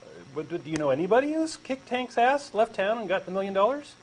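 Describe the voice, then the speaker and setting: Stammering, skeptical man, lively pitch. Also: quick pace, rough recording. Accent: Texan. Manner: skeptical, hesitant questioner who stammers. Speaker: co-host doing a comic dramatic reading. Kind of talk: comic scripted dialogue reading.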